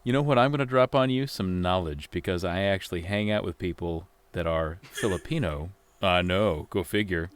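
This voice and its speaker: A man's voice: sits low, moderate pace, hardly any pauses, clear, resonant tone. A man on a comedy panel, explaining something while stammering and repeating himself. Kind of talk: casual comedic banter.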